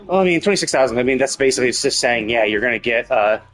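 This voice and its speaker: A man gives casual live commentary on a game stream, thinking out loud in a halting way. His voice sits at an average pitch for a man. Music plays behind him as he talks. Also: lively intonation.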